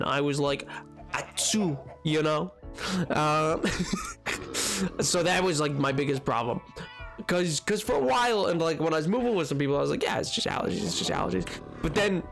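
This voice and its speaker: A man streaming a game, chatting with his viewers in a rambling, excitable way, his voice hoarse from a cold. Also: on the high side. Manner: rambling, excitable, jumps between topics. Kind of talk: casual chat with stream chat while gaming.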